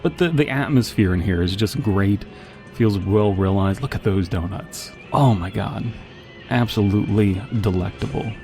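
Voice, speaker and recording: A man with a fairly low voice talks through the game he is playing in a casual, rambling way. His commentary is wry and full of joking asides. Game music plays clearly behind him, along with gunfire. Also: moderate pace, mellow. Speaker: video game player and commentator.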